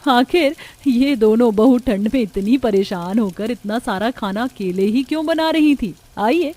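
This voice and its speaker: A woman's voice: a little high, a little slow, a little mellow. A woman narrating a scripted cartoon family story.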